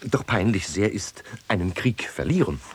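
An actor playing a shrewd, stubborn peasant with a husky voice and a wry, theatrical delivery in a classical comedy radio play. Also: male voice, fairly low pitch, expressive, moderate pace, exaggerated voice. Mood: doubtful, plain. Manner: theatrical, wry, swinging from plain talk to proclamation. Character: shrewd, stubborn peasant man mocking priests, longing for peace.

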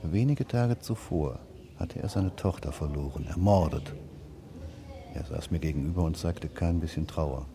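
An actor playing a dry, terse, probing police detective in naturalistic radio drama dialogue. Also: male voice, rumbling low, normal speed, crowd noise. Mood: dry, confiding.

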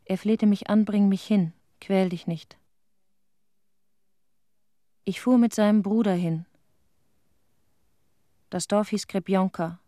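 An actress playing a haunted, tender middle-aged widow in a radio play, speaking in halting short phrases of her dead husband. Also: mid-range voice, steady tone, dark tone, no room sound, clean studio sound, close to an everyday voice. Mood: sorrowful. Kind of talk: intimate radio play monologue.

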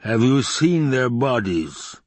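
A man acts out a scheming, tyrannical baron in a dramatised audiobook. The voice rumbles, husky and drawn-out, with imperious contempt in a close, dry recording. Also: sing-song, darkish tone, no room sound. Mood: cold questioning. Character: menacing, scheming, obese male baron and tyrant.

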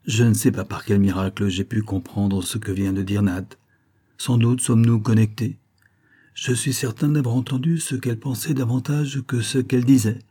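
A man reading a first-person novel aloud in a measured storytelling manner. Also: mid-range voice, steady tone, warm tone, slightly rough. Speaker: audiobook narrator.

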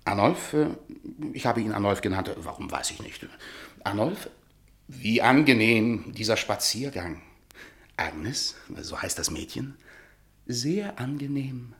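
An exuberant middle-aged man of the theatre, a playwright who muses and enthuses in grand style. His voice sits at a medium pitch and swings widely in melody. The delivery is theatrical German radio drama. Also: unhurried. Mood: reading aloud. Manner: theatrical, swinging between musing and excitement.

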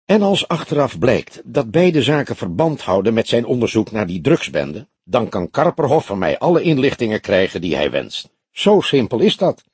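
A man's voice: mid-range voice, very animated, normal speed, hardly any pauses, husky, dry recording. A man voicing a grizzled older police detective in an audiobook, grumbling, scornful and blunt.

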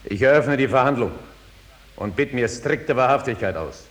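An actor playing a shrewd rustic judge, admonishing and declamatory, in a 1950s German radio drama. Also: male voice, mid-range voice, lively intonation, normal speed, close to an everyday voice. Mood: stern.

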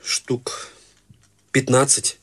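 A deadpan morbid young man. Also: very slow. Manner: deadpan and matter-of-fact. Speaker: radio play actor. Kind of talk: radio play acting.

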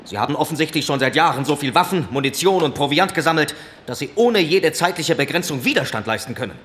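A man's voice: fairly high pitch, lively intonation, fast, fairly bright tone, a little hiss or hum. A male actor playing an earnest military man in a radio drama, reporting formally and insistently.

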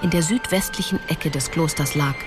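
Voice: mid-range voice, a little fast. A narrator reading a scripted fantasy story for a radio drama. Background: music.

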